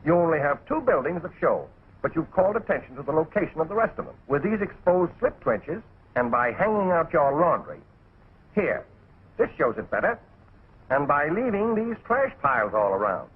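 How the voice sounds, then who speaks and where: An actor playing a bossy, folksy, practical camouflage instructor in a 1940s animated military training film, colloquial and husky as he gives orders. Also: a little high, normal speed, exaggerated voice. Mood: instructive. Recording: tinny, band-limited 1940s film soundtrack.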